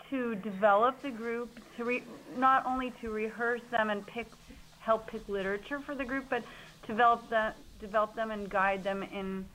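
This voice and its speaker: A woman who is an artistic director, giving measured answers as a radio guest. Her voice is at a middle pitch, with a faint hiss of noise behind it. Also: fairly bright tone, poor audio. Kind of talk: radio interview.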